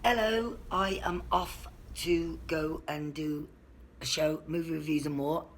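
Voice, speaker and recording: A woman's voice: low-pitched, steady tone, measured pace, a little hiss or hum. A woman guest on a talk show, chatting casually about herself and laughing between lines. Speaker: guest, entrepreneur and YouTuber. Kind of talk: casual interview chat.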